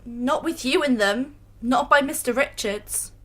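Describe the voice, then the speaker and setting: Female actor, timid young woman, high-pitched, bright tone, stammering, humble, radio play. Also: a little slow. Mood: admiring. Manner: hesitant, stammering. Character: shy, humble young female fan, earnest and self-effacing. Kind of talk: radio drama acting.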